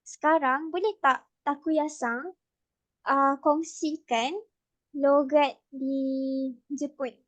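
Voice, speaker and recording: A woman's voice: high-pitched, fairly even intonation, takes it slowly. A woman with a Malaysian accent teaching a casual online Malay class, patient and mixing Malay with English.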